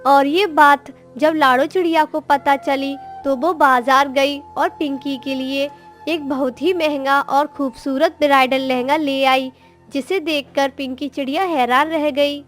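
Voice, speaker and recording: A woman's voice: sits high, fairly even intonation, unhurried, faint music behind. A woman narrator reading a scripted moral story for a cartoon, in storytelling style.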